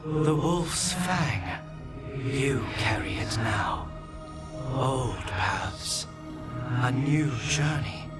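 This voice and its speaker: An actor voicing a cryptic, solemn spirit guide in a video game, speaking in halting, measured phrases. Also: male voice, medium pitch, animated. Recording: loud eerie music over voice.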